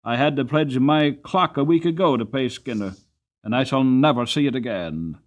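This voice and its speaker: A man's voice: medium pitch, normal speed, lightly acted. A man voicing a shabby, desperate London cab driver in an audiobook, complaining in an impassioned, emphatic way. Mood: desperate, aggrieved. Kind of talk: dramatic audiobook character reading.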